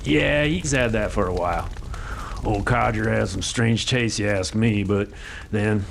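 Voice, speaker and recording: An actor playing a gruff, practical frontier guide who talks bluntly and folksily. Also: male voice, lowish voice, measured pace, slight background noise.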